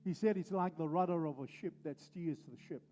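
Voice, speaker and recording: A male pastor preaching a sermon, driving his points home with slow, repeated phrases. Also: a little high, mellow, lo-fi sound, soft background music.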